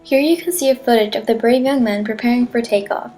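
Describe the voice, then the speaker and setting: A woman's voice: on the high side, quick pace, dark tone, close to an everyday voice. A woman playing an earnest, patriotic wartime newsreel narrator, reading a formal script in a declarative way. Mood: earnest, solemn. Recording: music behind the voice. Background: music.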